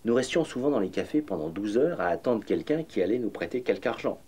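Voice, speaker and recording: Actor as husky, reminiscing male painter. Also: lowish voice, expressive, fast, mellow. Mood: reflective, nostalgic. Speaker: voice-over reading the painter's memoirs in a documentary. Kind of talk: scripted first-person narration.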